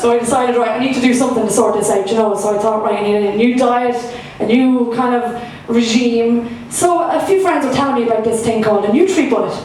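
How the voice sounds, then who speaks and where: A woman's voice: on the high side, quick pace, few pauses, darkish tone, slight background noise. A young Irish woman performing her first stand-up set, chatty and self-mocking, with lots of fillers. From Ireland. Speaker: stand-up comedian. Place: comedy club stage. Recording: echoey room, from far off.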